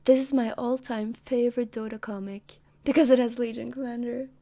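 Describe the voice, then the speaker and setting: A woman's voice: fairly high pitch, fairly even intonation, a little fast, dark tone. A woman streamer chatting casually between comic readings, jokey and giggly.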